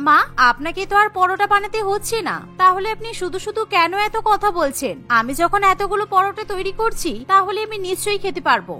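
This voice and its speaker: A woman acts a petulant young daughter-in-law in a cartoon. Her voice is high-pitched and piercingly bright, full of indignant complaint. Music plays underneath. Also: expressive, fairly quick, few pauses, full character voice. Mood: defiant. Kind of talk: cartoon voice acting.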